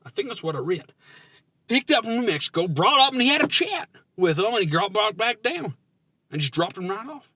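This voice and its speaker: A man puts on an excitable country conspiracy nut. The voice is high, bright and very animated, recorded dry. The character rambles and stumbles through folksy explanations on a comedy podcast. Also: a little fast, no echo, exaggerated voice. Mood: excited, explaining. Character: excitable, slow-witted country man, eager conspiracy theorist. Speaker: podcast co-host.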